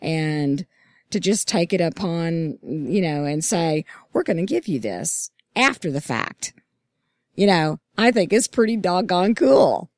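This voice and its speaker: Hoarse low-voiced woman host. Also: lively intonation, measured pace, darkish tone, no room sound, clean studio sound. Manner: upbeat, enthusiastic. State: hoarse, lost voice. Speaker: podcast host. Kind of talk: casual roundtable chat.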